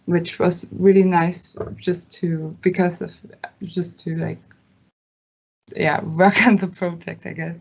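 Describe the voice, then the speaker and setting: Young German woman, low flat gravelly voice. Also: slow, muffled recording. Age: young adult. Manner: hesitant, many fillers, laughs between phrases. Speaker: student ambassador. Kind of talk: casual talk at an online open day.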